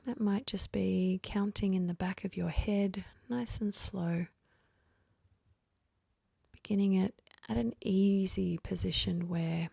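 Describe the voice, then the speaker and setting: Slow, breathy woman guiding sleep meditation. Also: mid-range voice, no room sound, studio quality. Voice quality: breathy, whispery, half-voiced. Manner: gentle, unhurried, with long pauses between phrases. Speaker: guided meditation instructor. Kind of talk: guided sleep meditation, soothing instruction.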